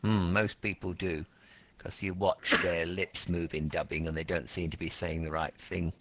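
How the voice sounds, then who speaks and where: A man's voice: low voice, level tone, moderate pace, fairly bright tone. A man teaching an English class, questioning students and explaining words simply with repetition and rephrasing. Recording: dull, lo-fi classroom recording.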